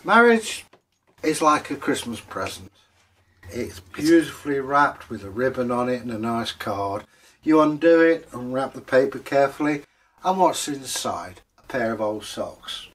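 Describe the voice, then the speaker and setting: Man, storyteller, medium pitch, theatrical delivery, jokey, drawn-out emphasis. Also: expressive, fairly bright tone. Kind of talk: animated oral folktale telling and chat.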